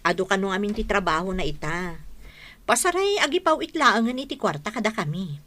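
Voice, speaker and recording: A woman's voice: medium pitch, big pitch swings, brisk, pushed and bright. An actress with a Filipino accent playing an emotional, pleading wife and mother in a radio drama. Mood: chatty. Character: anguished wife and mother of a jailed husband.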